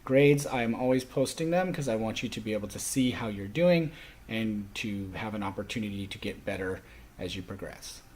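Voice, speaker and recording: Low-voiced male college instructor. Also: animated, moderate pace, darkish tone, slightly rough. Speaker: college sign language instructor. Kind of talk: explaining a syllabus to a class.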